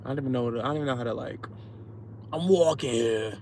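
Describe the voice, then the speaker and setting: A man's voice: mid-range voice, fast talker, gravelly, darkish tone, slight background noise. A man chatting casually on a livestream from his car, laid-back with mumbled asides and hyped bursts. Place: in a car at a drive-through.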